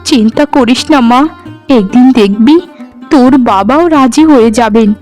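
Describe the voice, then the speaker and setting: A forgiving village mother from a cartoon, played by a woman. Her high voice is soothing and resigned, with music behind it. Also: moderate pace, darkish tone, natural voice. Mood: reassuring. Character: forgiving, reassuring middle-aged village mother. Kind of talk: cartoon story dialogue.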